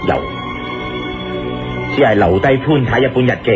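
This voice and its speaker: An actor playing a bitter, unhinged killer in a Cantonese radio drama, intense and full of hate. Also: male voice, medium pitch, normal speed, natural voice. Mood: bitter, resentful. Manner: intense, hate-filled delivery. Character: vengeful male killer, bitter, unhinged, laughing madly. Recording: dull lo-fi radio recording, music behind.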